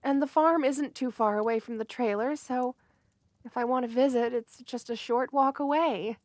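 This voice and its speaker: A woman playing a shy, sweet, lovestruck young woman in an intimate ASMR romance roleplay, gentle and flustered, often hesitating mid-phrase. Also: a little high, moderate pace, no echo, lightly acted. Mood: soft, nervous.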